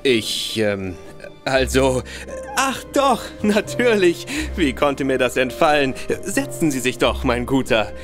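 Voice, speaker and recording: A man's voice: mid-range voice, very animated, takes it slowly, over music. A bitter tipsy young nobleman. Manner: tipsy, wavering, spiteful. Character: Sebastian Conroy, young nobleman, bitter, drinking, boastful. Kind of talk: radio play acting.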